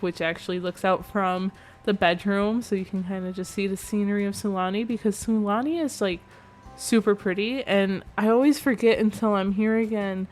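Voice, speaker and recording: A young woman giving a rambling, chatty commentary over a game build, sounding a little nasal from allergies. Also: medium pitch. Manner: chatty, rambling, self-correcting. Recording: faint background music.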